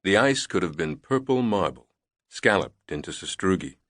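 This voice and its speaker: A man narrating a thriller novel in a measured, deliberate reading on a close studio mic. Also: low voice, expressive, fairly bright tone, no room sound. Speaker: audiobook narrator.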